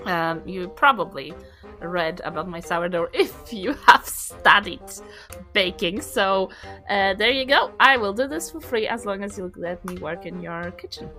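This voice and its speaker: A woman's voice: medium pitch, expressive, unhurried, pushed and bright, soft background music. A woman playing in a tabletop role-playing game, chatting casually and speaking in character. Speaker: podcast player in tabletop game. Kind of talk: casual role-play chat.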